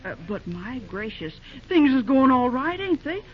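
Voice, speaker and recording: A woman's voice: sits high, big pitch swings, a little slow, crowd noise. An actress playing a fussy, kindly middle-aged woman who runs a country restaurant, speaking in homespun rural dialect in a 1930s radio drama. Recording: dull old radio recording.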